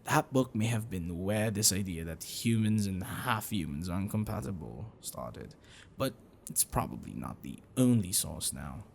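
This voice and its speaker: A man playing a gentle, bookish half-dragon boyfriend in an intimate ASMR roleplay, affectionate and apologetic, speaking haltingly and softly. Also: low voice, animated, gravelly, slight background noise, exaggerated voice. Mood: explaining, earnest. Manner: halting, soft chuckles and sighs, dropping to whispers.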